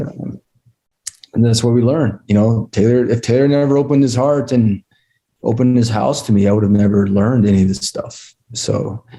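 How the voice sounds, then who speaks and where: A man giving informal, heartfelt remarks as a guest speaker, reflective and earnest, with halting phrasing. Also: fairly low pitch, vocal fry, dark tone, rough recording. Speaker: guest speaker, screenwriter and mentor.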